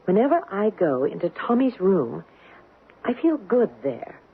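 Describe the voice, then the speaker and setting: A woman's voice: medium pitch, big pitch swings, slightly rough, heavily acted. Anxious young mother, tinny radio sound. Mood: uneasy, hopeful. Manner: hesitant, halting, stammering. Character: anxious young mother living in a haunted house. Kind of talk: radio drama acting. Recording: tinny, noisy old radio broadcast.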